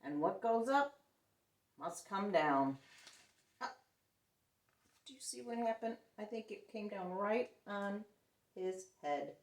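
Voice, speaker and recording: Slow-paced woman storyteller, echoey and distant. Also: medium pitch. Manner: expressive, acts out the characters. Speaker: children's storyteller. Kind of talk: picture-book read-aloud with questions to young listeners. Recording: roomy echo, distant microphone.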